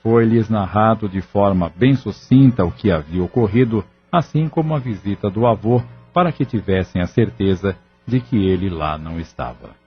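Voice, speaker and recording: Male narrator, lowish pitch, formal storytelling, scripted audio drama, muffled, soft music behind. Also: expressive, moderate pace, husky.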